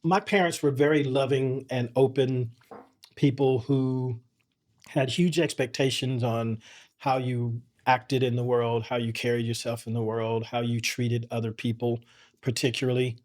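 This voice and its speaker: A middle-aged man, a podcast guest, telling personal stories in an earnest, measured way with frequent restarts. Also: medium pitch, little pitch movement, darkish tone. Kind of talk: reflective conversational storytelling.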